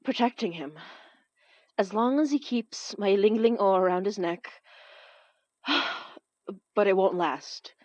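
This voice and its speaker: A woman playing a protective young magic-user in an audio drama, speaking haltingly as if under strain. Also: medium pitch, darkish tone, no echo, lo-fi sound, lightly acted. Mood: strained, urgent. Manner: halting, stammering under pressure. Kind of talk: audio fiction drama acting.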